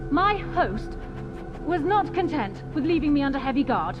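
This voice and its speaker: A woman's voice: sits high, big pitch swings, normal speed. An actress voicing a regal, gracious captive noblewoman in a fantasy video game, formal and refined in her speech. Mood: relieved, urgent. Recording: muffled, heavily reverberant, music behind.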